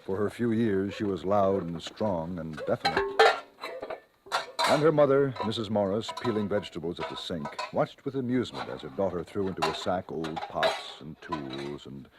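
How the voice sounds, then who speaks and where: Storyteller for radio play played by a man: low voice, dramatic literary phrasing, clearly noisy old recording. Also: moderate pace, natural voice. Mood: wry, lively. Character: wry, storytelling narrator of a suspense tale.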